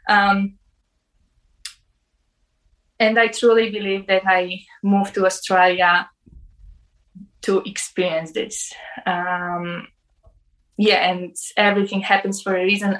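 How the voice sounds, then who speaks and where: Halting woman sharing an experience. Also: medium pitch, fairly bright tone, rough recording. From Poland. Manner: halting, many "like" fillers. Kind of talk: personal testimony.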